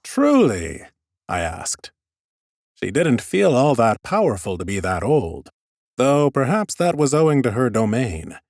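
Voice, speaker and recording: A man's voice: mid-range voice, big pitch swings, measured pace, a little mellow, no room sound. A man narrating scripted first-person fantasy audiobook prose with wry understatement, close on a studio mic. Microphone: close studio microphone.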